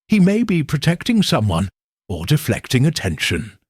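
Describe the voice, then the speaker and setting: A man voicing a shrewd elderly village spinster, speaking in a gentle, probing, knowing way. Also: a little high, wildly swooping pitch, normal speed, husky, dry recording, studio quality, pitched above the performer's own voice, exaggerated voice. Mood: shrewd musing.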